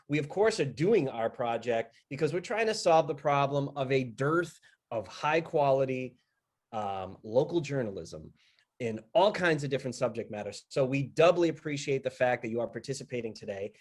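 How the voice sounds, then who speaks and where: A man with a medium-pitched voice delivers a casual promotional message as a founder. He is deliberate and repeats phrases for emphasis as he gives thanks. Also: animated. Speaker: media project founder giving a sponsor message.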